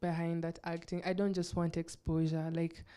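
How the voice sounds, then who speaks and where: A young Kenyan woman speaks in a relaxed interview with a Kenyan accent. Her voice is low-set, mellow and smooth, and her talk is frank, full of "like" and easy laughter. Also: normal speed. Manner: laughs often, frank, uses "like" a lot. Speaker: actress guest. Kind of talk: casual interview chat.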